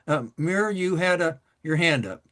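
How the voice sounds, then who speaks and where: A man's voice: on the high side, animated, a little slow, fairly bright tone. A man moderating a group discussion, stammering at the start of his turns and calling on the next speaker.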